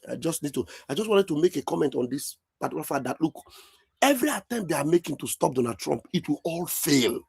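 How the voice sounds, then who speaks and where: A man's voice: on the high side, creaky, husky, no echo. A man hosting a live online stream, giving emphatic, preachy political commentary and repeating his points. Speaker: online show host. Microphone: computer mic on a live video call.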